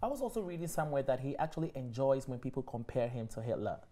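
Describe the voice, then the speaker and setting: Woman, co-host, inquisitive, deep voice, lively intonation. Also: fast. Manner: inquisitive, laughs often. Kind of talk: casual panel chat.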